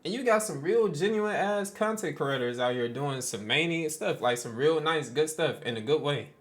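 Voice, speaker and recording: A man's voice: a little high, animated, normal speed. A young man hosting a reaction video, talking bluntly in slang and swearing freely as he reacts. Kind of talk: casual reaction commentary.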